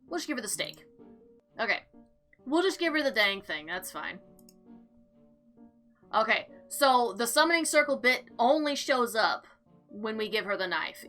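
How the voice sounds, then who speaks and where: A woman giving casual, chatty gameplay commentary and reading visual novel text aloud in an expressive, theatrical way. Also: fairly high pitch. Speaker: game player and narrator. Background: background music.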